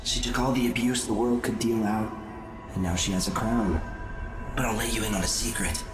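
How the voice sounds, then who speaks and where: Casting: male actor as sardonic otherworldly game figure, lowish and echoing, coolly taunting, theatrical. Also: steady tone, lightly acted. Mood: knowing, cryptic. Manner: measured, theatrical, knowing. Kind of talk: video game cutscene acting. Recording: echoing voice over game music.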